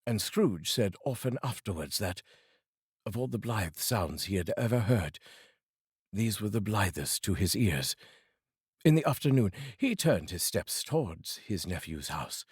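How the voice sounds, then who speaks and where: A man from the United Kingdom giving a theatrical, expressive reading of a classic story as narrator. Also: fairly low pitch, measured pace, slightly rough, dry recording, clean studio sound. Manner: theatrical and expressive, savouring phrases. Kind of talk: dramatic audiobook reading.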